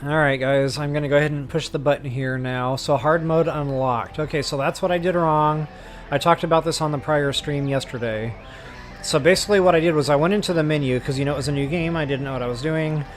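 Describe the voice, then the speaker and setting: A man's voice: a little high, steady tone, brisk. A man talking casually to his viewers as a game livestreamer, chatty and informal. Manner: chatty, addresses viewers as guys and y'all. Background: game music.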